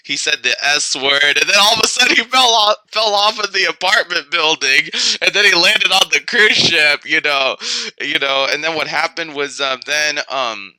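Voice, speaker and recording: A man with a fairly high, strikingly bright and forceful voice spins an improvised tale. He rambles and repeats himself in an excitable way. The sound is dry and close. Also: moderate pace, dry recording, poor audio. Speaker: storyteller. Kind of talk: improvised spoken storytelling in character as a magical bear.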